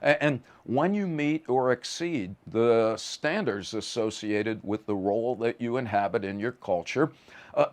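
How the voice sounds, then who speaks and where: A man giving a conversational lecture in a wry, vivid, emphatic manner, often stammering on the starts of words. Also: mid-range voice.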